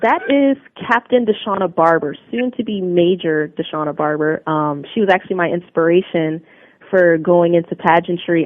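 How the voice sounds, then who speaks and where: A young woman from New Jersey, a guest on a radio interview, answering in an upbeat, earnest and polished way. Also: deep voice. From New Jersey, USA. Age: young adult. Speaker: interview guest, Army Reserve drill sergeant. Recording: narrow phone-line sound, dry.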